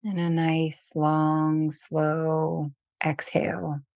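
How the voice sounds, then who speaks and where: A woman guides a meditation in a lowish, softly even voice with little rise and fall. Her phrases are gentle and spaced by long pauses. The sound is very dry and close, without room echo. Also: vocal fry. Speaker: meditation teacher.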